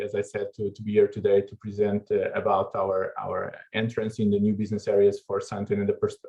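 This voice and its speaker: A man from Portugal giving a keynote talk at an online conference, measured and full of fillers and restarts. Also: lowish voice, darkish tone. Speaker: keynote speaker. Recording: dull lo-fi video call audio.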